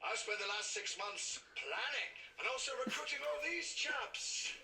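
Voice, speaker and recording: A man's voice: extremely high pitch, lively intonation, moderate pace. A man playing a brilliant, self-assured, lecturing time traveller in a television sci-fi drama. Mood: brisk, pleased. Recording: distant TV playback with music behind.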